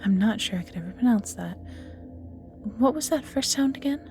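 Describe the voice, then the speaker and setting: A woman's voice: a little high, lively intonation, normal speed, warm tone. A woman playing an ancient banished evil magical being in an audio roleplay, flirty and world-weary, musing theatrically and often sinking to near-whispers, with music behind. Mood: curious, teasing. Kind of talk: scripted audio roleplay acting, addressing the listener.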